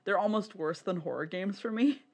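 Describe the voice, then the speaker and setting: Podcast cohost just chatting, giggly and sweary, mid pitched voice. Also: fairly quick. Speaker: podcast co-host. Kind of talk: casual podcast chat about visual novels.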